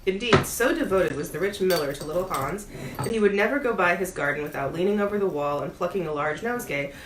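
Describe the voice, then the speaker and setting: A woman reads a bedtime story aloud in a slightly low voice. She is expressive and slips in playful asides, recorded in an echoey room with some background noise. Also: fast. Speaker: bookseller reading a bedtime story. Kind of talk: storybook read-aloud to a pet dog. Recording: roomy echo, audible background noise.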